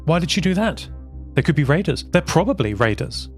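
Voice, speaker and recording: Man voicing an urgent, commanding mother, pitched up. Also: very animated, brisk, faint music behind. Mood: alarmed. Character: commanding, anxious mother of a travelling train family.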